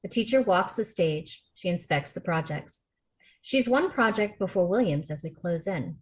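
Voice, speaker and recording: Woman narrator, stage directions, fairly low voice, steady matter-of-fact reading, video call. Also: moderate pace. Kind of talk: table read narration of a script.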